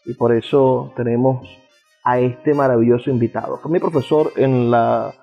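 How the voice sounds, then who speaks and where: A Venezuelan man hosting a radio interview, speaking in measured, rhetorical phrases. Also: mid-range voice, steady tone, gravelly. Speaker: radio show host and interviewer. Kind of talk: radio interview with an eloquent introduction. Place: balcony of a house. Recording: phone-like thin sound, faint music behind.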